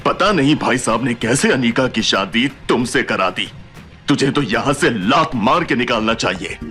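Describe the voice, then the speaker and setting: A spiteful, blustering middle-aged uncle. His male voice swings widely in pitch, theatrical and sneering, with faint music under the drama. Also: lively intonation, normal speed, bright, forceful tone. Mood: angry, sneering. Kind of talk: Hindi audio drama acting.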